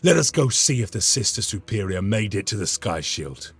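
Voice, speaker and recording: Gravelly, animated space-marine lieutenant. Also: male voice, on the low side, slight background noise. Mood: determined. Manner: resolute, deliberate, military. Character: battle-hardened, dutiful young-in-rank space-marine lieutenant. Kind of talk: game cutscene acting.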